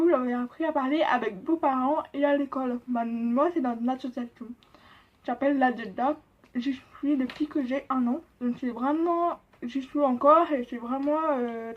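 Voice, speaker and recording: Young deaf woman with a soft accent. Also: high-pitched. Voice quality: slightly nasal, deaf-speech accent. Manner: deaf speaker's accent, halting with many pauses and fillers. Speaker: vlogger. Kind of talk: casual explanatory vlog. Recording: dull lo-fi sound.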